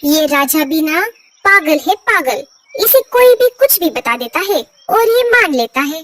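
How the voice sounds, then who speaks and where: A woman's voice: sits high, animated, a little slow, a bit bright, far from the mic. A woman voicing a stubborn, scornful auntie bird who grumbles in a cartoon story. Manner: scornful, complaining.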